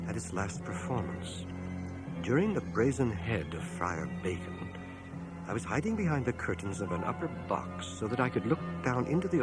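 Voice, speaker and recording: Low, expressive gentleman narrator, over music. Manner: literary, measured, expressive. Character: reflective older gentleman narrator recounting his own past. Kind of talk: scripted first-person radio drama narration. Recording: cassette transfer of 1980 radio broadcast.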